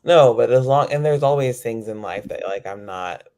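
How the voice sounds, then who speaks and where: A man's voice: mid-range voice, moderate pace, dark tone. A man speaking as a poet being interviewed, reflective and tentative, repeating words as he works out a thought.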